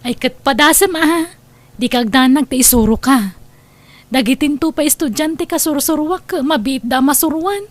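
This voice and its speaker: A woman's voice: high voice, normal speed, natural voice. A woman with an Ilocano accent playing a patient, helpful manicurist niece in a comedy drama. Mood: encouraging. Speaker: drama actress.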